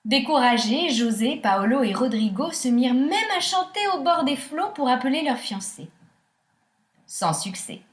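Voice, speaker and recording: A woman narrating a scripted children's tale as a storyteller, with theatrical, deliberate phrasing. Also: high-pitched, lively intonation. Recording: roomy echo, music behind.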